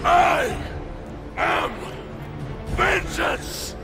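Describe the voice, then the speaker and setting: An actor playing a furious, vengeful Roman warrior in a video game, gravelly, menacing and deliberate. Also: male voice, very high-pitched, raised voice, over music, full character voice. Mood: vengeful, roaring. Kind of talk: intense video game acting.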